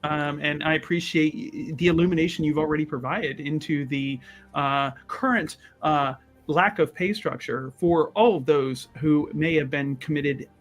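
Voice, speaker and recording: Man as learned fantasy traveller, higher male pitch, verbose, polite, curious, halting flowery phrasing, tabletop game. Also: fairly even intonation, soft background music, close to an everyday voice. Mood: earnest, effusive. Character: Aiden, wordy, courteous, inquisitive male scholarly visitor. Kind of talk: improvised tabletop roleplay.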